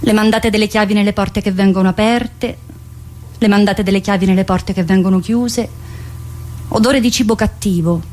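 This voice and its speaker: A woman's voice: medium pitch, steady tone, normal speed, darkish tone, a little hiss or hum. A woman performing a dramatic monologue in short, declamatory fragments, like a list of remembered phrases. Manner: clipped, declamatory phrases, shifting between voices. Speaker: writer and solo stage performer.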